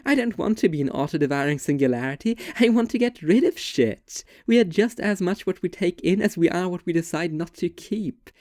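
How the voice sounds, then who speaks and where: An intense, sardonic young woman played by a performer argues her case in deliberate, articulate sentences. The voice sits at a middling pitch and is recorded very dry and close. It sounds like scripted literary dialogue, opinionated throughout. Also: animated, a little mellow, dry recording, lightly acted. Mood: argumentative, sardonic. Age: young adult. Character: two young women debating art, intense and sardonic. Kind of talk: scripted literary dialogue, argumentative monologue delivery.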